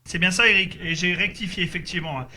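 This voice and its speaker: A man's voice: high voice, fast talker, bright, forceful tone. A man chatting casually as a radio operator during a group contact, speaking hesitantly and repeating his confirmations.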